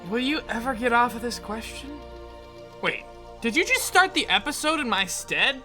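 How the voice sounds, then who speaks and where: A woman's voice: a little high, very animated, moderate pace, pushed and bright, soft background music. A woman voicing a theatrical, self-regarding adventurer in an audio drama, grandiose and easily exasperated.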